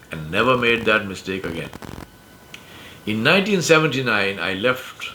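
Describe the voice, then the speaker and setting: An Indian man in his sixties whose first language is Urdu speaks in a measured, emphatic way. His voice sits a little low but rings bright and forceful. A faint rumble runs under the recording. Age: 60s. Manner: measured, emphatic, uses metaphors. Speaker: speaker sharing life lessons. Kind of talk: reflective monologue.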